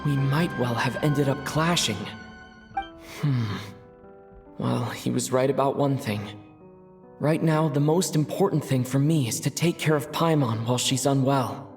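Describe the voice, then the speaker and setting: A steady young traveler speaks in a gentle, mid-pitched voice, acted for a game. The delivery is calm and thoughtful. Game music plays clearly behind. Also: a little slow, close to an everyday voice. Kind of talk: video game voice acting.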